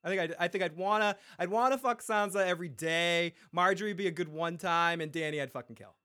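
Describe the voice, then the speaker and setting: Male podcast co-host with a slightly higher voice. Casual and profane, often laughing. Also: brisk, pushed and bright, clean tone. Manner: reads listener messages aloud. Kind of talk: casual fan podcast chat.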